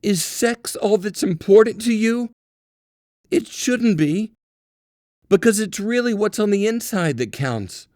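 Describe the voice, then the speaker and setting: An indignant wife, acted out by a male audiobook narrator scolds in a raised pitch. The delivery is reproachful and swings widely. The sound is dry and close. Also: expressive, a little slow, a little mellow, dry recording.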